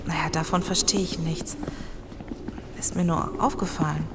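An actress playing an excitable, observant female survivor in an audio drama. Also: sits low, moderate pace. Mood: puzzled. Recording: clear background ambience noise.

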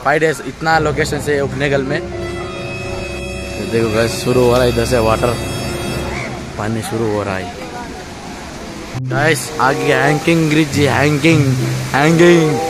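Young male travel vlogger, selfie phone audio, loud music bed underneath. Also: mid-range voice, fairly even intonation, a little slow, pauses often, rough recording. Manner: chatty, laughing, addresses viewers as friends. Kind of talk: casual travel vlog. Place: outdoors on busy town streets and at a tourist site. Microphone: phone held at arm's length, selfie. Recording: loud background music over the talk.

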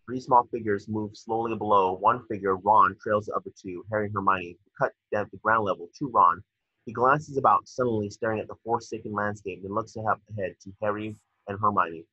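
A man's voice: lowish voice, steady tone, a little fast, sharp tone, husky, no echo. A man reading stage directions aloud as narrator at a table read, now and then stumbling over words. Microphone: video call. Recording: dull lo-fi video call audio.

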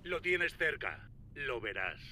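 A menacing male kidnapper for a dramatic video game. His speech is curt and threatening, with a hard, very bright edge. The intonation moves widely. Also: medium pitch, sing-song, slow pace, a little hiss or hum, exaggerated voice. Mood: cold menace. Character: menacing corrupt male kidnapper, middle-aged or older.

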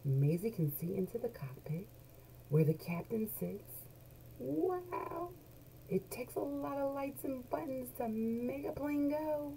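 A middle-aged woman reading a children's picture book aloud expressively, drawing out key words in a small room at home. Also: high-pitched. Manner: animated storyteller, deliberate, drawn-out key words. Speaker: children's book read-along host. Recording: noisy home webcam recording.

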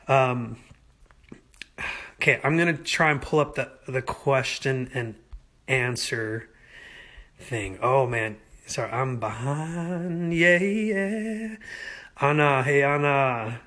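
A young man in casual livestream chat from home, talking easygoing and rambling into a phone held at arm's length. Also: mid-range voice. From United States. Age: young adult. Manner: easygoing, rambling, slow-paced, lively. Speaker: singer answering fan questions. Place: indoors at home.

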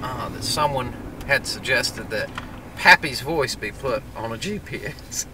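Man in his thirties, excitable storyteller, very bright lively voice, a little far from the camera, faint road noise. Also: mid-range voice, a little slow. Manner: excitable, storytelling, laughs a lot. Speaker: co-host. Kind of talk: casual banter in a car. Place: car interior, driver's seat. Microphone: camera on the dashboard.

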